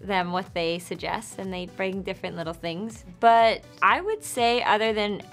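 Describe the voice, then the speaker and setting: A woman's voice: medium pitch, normal speed, faint music behind. Chatty young woman with a light laugh. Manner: chatty, laughs often, filler "like". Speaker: interviewee. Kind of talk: casual chat to camera. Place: indoor studio before a dark backdrop.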